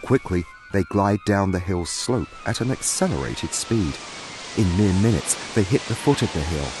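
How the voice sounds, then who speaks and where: A man narrating a scripted fantasy story in a dramatic, deliberate way. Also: deep voice, animated. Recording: music behind.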